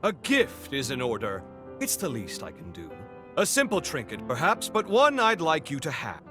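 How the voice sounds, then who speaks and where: A man's voice: fairly high pitch, huge pitch swings, moderate pace, over music. An actor playing a flamboyant, centuries-old nobleman in a video game, with a theatrical, courtly and pompous delivery. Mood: reflective, grateful. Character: flamboyant, noble, centuries-old immortal man, grandiose and devoted. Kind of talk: video game character acting.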